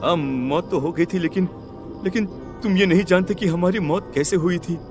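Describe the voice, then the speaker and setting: Bitter male village ghost acted, high-pitched. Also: moderate pace, a little mellow, faint music behind, lightly acted. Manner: aggrieved, threatening. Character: bitter, vengeful ghost of murdered village uncle.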